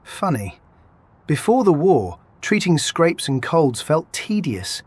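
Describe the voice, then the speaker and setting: A man's voice: fairly high pitch, sing-song, slow, slightly rough, exaggerated voice. An actor playing an easygoing, flirtatious male field doctor in an audio drama, wry and laid-back. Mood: wry, relaxed.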